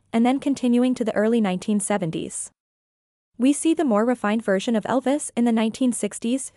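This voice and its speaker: A woman's voice: fairly high pitch, no room sound. A woman reviewer reading her scripted narration in an earnest, opinionated way into a close mic. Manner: earnest, opinionated, even read-out delivery. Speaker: reviewer and author.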